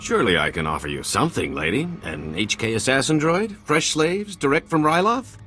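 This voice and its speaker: A man's voice: medium pitch, big pitch swings, brisk, sharp tone. A man playing an oily, self-important alien schemer in a video game, pompous and wheedling. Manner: wheedling, pompous, swooping delivery.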